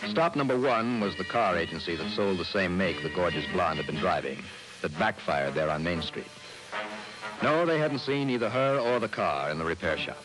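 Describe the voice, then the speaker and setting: Vintage radio drama with music in the recording. A low-pitched American man is a confident, wisecracking investigator. He delivers his lines breezily and wryly, in classic detective style. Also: lively intonation, normal speed, low-quality recording. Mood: wry narration. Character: confident, wisecracking male private insurance investigator. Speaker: lead actor and first-person narrator. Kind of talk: old-time radio detective drama acting and narration.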